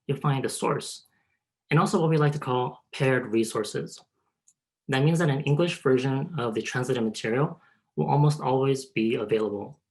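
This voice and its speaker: A man's voice: medium pitch, rough recording. A man who works as a programmer, giving a prepared, orderly and polite walkthrough of a website in an online webinar. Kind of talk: software demo walkthrough, prepared explanation. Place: online video call.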